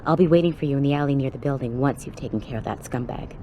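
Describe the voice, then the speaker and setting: A woman's voice: very deep voice, quick pace, background noise. An actress playing a tough, brash female police officer in a video game, slangy and wry. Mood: determined.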